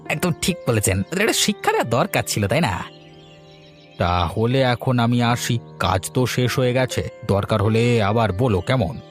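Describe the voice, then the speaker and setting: A man's voice: medium pitch, lively intonation, moderate pace, faint music behind, lightly acted. A man voicing a spiteful, greedy village schemer in a cartoon, with a conniving, accusatory manner. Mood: gloating. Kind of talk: cartoon dialogue acting.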